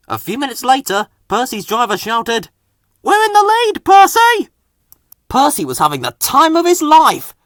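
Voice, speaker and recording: A man's voice: high voice, fairly bright tone, no room sound, studio quality. A man narrating a children's storybook, reading aloud.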